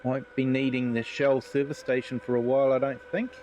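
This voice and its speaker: A man's voice: mid-range voice, level tone, brisk, a little mellow, a little hiss or hum. A man with a New Zealand accent streaming a farming game, chatting casually and reading out viewer comments. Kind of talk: casual live gameplay commentary.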